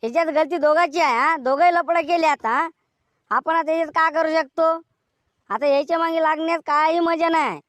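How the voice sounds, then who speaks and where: A man voicing an exasperated village peacemaker in a cartoon comedy, admonishing in a rural Vidarbha Marathi accent with a pitched-up voice. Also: steady tone, fairly quick, fairly bright tone, no echo. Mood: admonishing, measured. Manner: admonishing, mediating.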